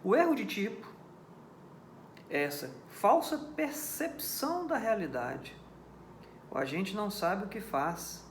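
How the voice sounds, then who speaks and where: A man's voice: high voice, big pitch swings, takes it slowly, pauses often, a little hiss or hum. Man lecturing in an echoey room. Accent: Brazilian Portuguese. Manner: didactic, repeats key points, checks understanding with rhetorical questions. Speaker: law lecturer. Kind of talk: recorded lecture on criminal law.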